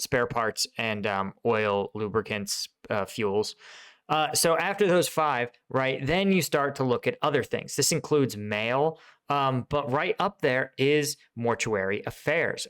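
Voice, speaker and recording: An American man, a military veteran host, gives off-the-cuff reaction commentary in a halting, explanatory way, often checking in with the listener. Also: mid-range voice, a bit bright, smooth.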